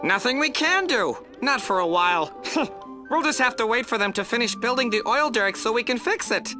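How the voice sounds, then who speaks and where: A giddy, devoted alien minion from a cartoonish game, played by a woman. The high, animated voice chatters naively over music. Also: brisk, close to an everyday voice. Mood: adoring, dopey. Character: giddy, brainwashed alien worker devoted to villain. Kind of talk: animated game cutscene acting. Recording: music behind voice.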